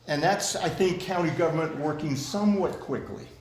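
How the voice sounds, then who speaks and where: Loud-voiced man chairing a meeting. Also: on the high side, moderate pace, roomy sound, slight background noise. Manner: loud, plain-spoken. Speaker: club president chairing a meeting. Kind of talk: community meeting discussion.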